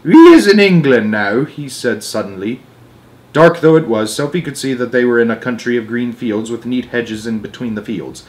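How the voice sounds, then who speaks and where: A man narrating a children's book aloud in an expressive way, with dramatic emphasis phrase by phrase. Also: medium pitch, moderate pace. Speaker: storyteller.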